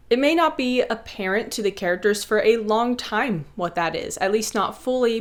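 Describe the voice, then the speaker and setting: A woman with a medium-pitched voice narrates a scripted explainer. She speaks articulately, like the host of an instructional video essay, with a playfully teacherly manner.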